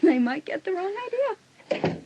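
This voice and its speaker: A woman playing a taunting, menacing teenage younger sister in a dramatised audiobook, with a breathy, husky voice. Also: extremely high pitch, big pitch swings, measured pace, noisy background, exaggerated voice. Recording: slightly dull sound.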